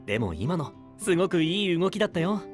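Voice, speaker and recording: An actor playing a friendly, playful young male knight in an anime-style voice drama, smooth-talking and teasing. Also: high voice, sing-song, a little fast. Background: music.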